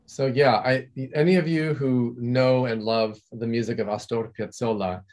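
A man from California, a composer, explaining his music in a relaxed, chatty way over a video call from his home study. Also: mid-range voice, normal speed. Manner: relaxed, chatty, restarts and fillers. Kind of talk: video-call masterclass discussion.

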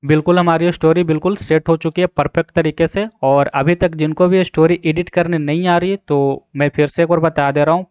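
A man's voice: fairly high pitch, a little mellow, clean tone, no room sound. A man narrating a step-by-step app tutorial, instructing and often checking in with the listener. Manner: repetitive verbal check-ins, instructional. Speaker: tutorial host. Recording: slightly dull, dry voice-over.

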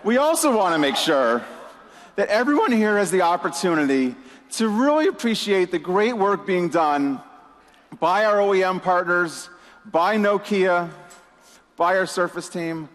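High-voiced man giving a keynote in a hall. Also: expressive, a little slow, fairly bright tone. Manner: upbeat, emphatic, with "you know" fillers. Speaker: keynote presenter. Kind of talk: conference keynote speech. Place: large conference hall. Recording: reverberant hall with audience.

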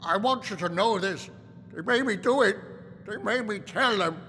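An actor playing a desperate, guilt-stricken old man with a husky, strained voice in an audio drama. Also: high voice, moderate pace, bright, forceful tone, big-room sound, exaggerated voice. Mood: desperate, anguished.

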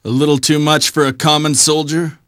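A man's voice: on the high side, flat delivery, brisk, fairly bright tone, no echo, clean studio sound, natural voice. Man as a cocky, smug bounty hunter. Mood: cool, smug. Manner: smug, taunting, cool. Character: cocky, cynical male robot bounty hunter. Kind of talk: video game cutscene acting.

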